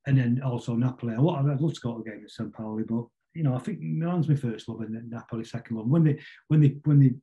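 A middle-aged man with a Manchester accent, chatting casually as an interview guest in a rambling, halting way full of tag questions. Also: medium pitch, narrow, tinny audio. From Manchester, England. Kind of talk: casual conversational football chat.

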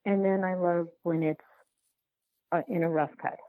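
A woman being interviewed as a documentary filmmaker, talking casually and haltingly, repeating and rephrasing as she thinks aloud, over a remote call. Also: fairly low pitch, fairly even intonation, dry recording. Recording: phone-like narrow-band line.

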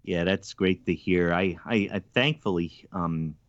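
A man hosting a podcast interview, talking in a halting way with many ums and restarts. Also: low voice, no echo, lo-fi sound. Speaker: podcast host.

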